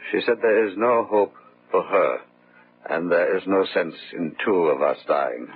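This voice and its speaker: Husky, grieving, defiant manservant. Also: male voice, sits low. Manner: slow, firm, deliberate. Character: grieving, defiant manservant, husband of a dying wife. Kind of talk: radio drama acting. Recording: muffled, phone-like old radio recording.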